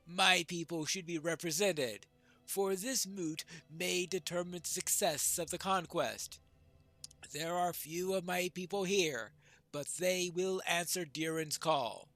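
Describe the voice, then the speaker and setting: A man's voice: high-pitched, slow, fairly bright tone, no echo, exaggerated voice. A man voicing a solemn, battle-ready dwarf lord in formal, declamatory fantasy game dialogue. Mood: resolute.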